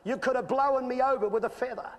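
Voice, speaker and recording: A man's voice: extremely high pitch, fast. A man in his fifties with an Australian accent, preaching a sermon and telling a personal story, earnest and building to emphatic peaks. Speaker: pastor. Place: church stage with screen. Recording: reverberant church hall.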